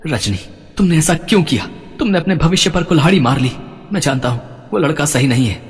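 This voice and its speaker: A man voicing a protective, stern older brother in an animated melodrama, speaking emphatically and emotionally. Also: fairly high pitch, quick pace, big-room sound, lightly acted. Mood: anguished. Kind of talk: melodramatic animated story acting.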